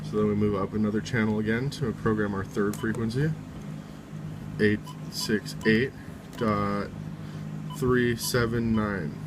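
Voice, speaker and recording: A man's voice: lowish voice, level tone, unhurried, background noise. A man giving a casual, step-by-step how-to in an informal way, often reiterating each step. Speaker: tutorial presenter.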